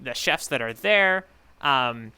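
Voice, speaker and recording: A man's voice: medium pitch, lively intonation, slow pace, bright, forceful tone, clean tone. A man co-hosting a casual podcast discussion, thinking aloud and tentatively working through his ideas as he speaks. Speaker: podcast co-host, newcomer reader giving theories.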